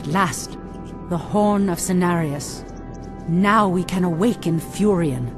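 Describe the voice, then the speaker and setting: An actress playing a proud, commanding night elf priestess in a video game, speaking in a solemn, imperious, formal way. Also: female voice, on the low side, slow, music in the background. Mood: relieved, resolute. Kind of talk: dramatic video game cutscene acting.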